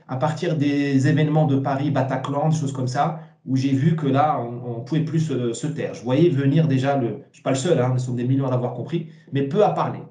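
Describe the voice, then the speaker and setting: Bright-voiced man in an echoey room. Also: mid-range voice. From Morocco. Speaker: interview guest, essayist. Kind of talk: opinionated interview answers.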